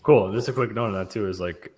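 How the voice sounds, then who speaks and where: A man's voice: lowish voice, quick pace, a little mellow, slightly rough. A man hosting a casual interview, eager and stumbling over his words. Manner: eager, stammering. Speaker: host.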